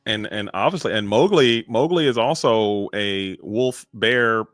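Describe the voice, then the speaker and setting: A man giving lively, joking play-by-play commentary on a live card game. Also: on the low side, no room sound, studio quality, faint music behind. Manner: energetic, joking, drawn-out emphasis.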